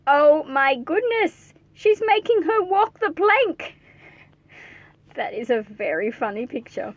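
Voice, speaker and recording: Animated, high-voiced woman reading to children. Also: takes it slowly, no echo, muffled recording. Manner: animated, playful, addressing young listeners directly. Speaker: read-aloud host reading a picture book to children. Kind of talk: expressive children's storybook read-aloud with chatty asides and questions to listeners.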